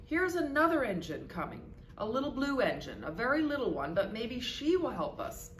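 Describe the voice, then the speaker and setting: A woman's voice: a little high, sing-song, moderate pace, voice pushed up. A woman voicing a funny, hopeful little toy clown from a storybook, calling out with encouragement. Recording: roomy echo with background noise.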